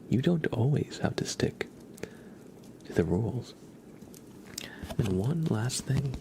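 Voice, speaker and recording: A man hosting an ASMR livestream, speaking softly in a murmur that often slips into whispers. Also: on the low side, creaky, noisy background. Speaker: livestream host. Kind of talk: soft-spoken ASMR livestream.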